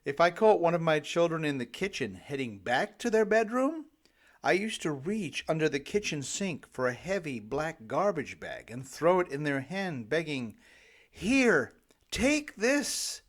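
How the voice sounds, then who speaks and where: A man's voice: fairly high pitch, big pitch swings, measured pace. A middle-aged man reading his own self-help audiobook in a dramatic, emphatic storytelling manner. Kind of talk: scripted self-help narration.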